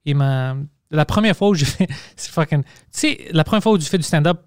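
Higher-voiced man with an English-tinged French accent. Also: expressive, a little fast, no echo, clean studio sound. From Quebec. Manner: loose and profane, hesitant restarts. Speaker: podcast host, stand-up comedian. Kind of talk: casual podcast chat.

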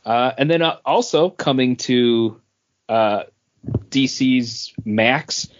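Male podcast co-host, casual and slow-paced, mid pitch, lo-fi recording. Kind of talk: casual chat about entertainment news. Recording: dull, lo-fi podcast audio.

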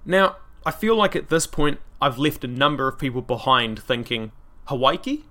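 A man with a New Zealand accent narrates a scripted history podcast in a bright, forceful voice. He sits at a middle pitch for a man. Now and then he drops in dry, wry asides. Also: expressive, normal speed. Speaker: podcast host.

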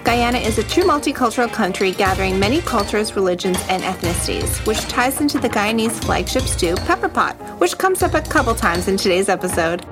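A woman hosting a podcast, moving between a scripted introduction and interview questions. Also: mid-range voice.

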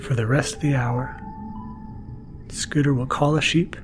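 A man hosting a bedtime podcast, narrating gently in a soft, half-whispered voice for relaxation. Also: steady tone, unhurried. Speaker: podcast host. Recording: music playing behind the voice. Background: mellow music and low-key sound design.

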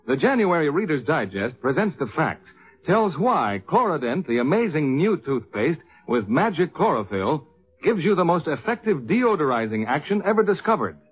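High, animated radio announcer. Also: male voice, moderate pace, soft background music. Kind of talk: scripted 1950s radio commercial announcing. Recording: tinny vintage 1950s radio broadcast.

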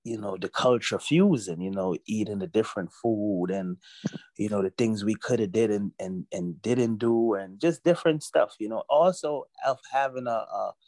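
A man in his forties from Jamaica, raised in Brooklyn, chatting as an interview guest, relaxed and full of "you know.". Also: on the low side, normal speed, dry recording. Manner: relaxed, repeats himself, many "you know"s. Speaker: guest musician. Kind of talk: casual interview talk.

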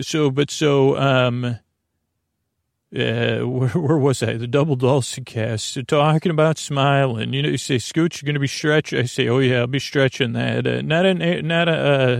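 A man hosting a bedtime podcast in a meandering, halting monologue that drifts off on tangents. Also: medium pitch, no echo, studio quality. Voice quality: creaky, gravelly. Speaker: podcast host.

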